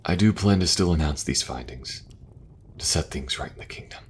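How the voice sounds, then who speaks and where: A man playing an earnest young king who speaks gently and falters a little when things get awkward. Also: a bass voice, expressive, normal speed, a little hiss or hum. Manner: gentle, soft-spoken, faltering at awkward moments. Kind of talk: audio roleplay acting.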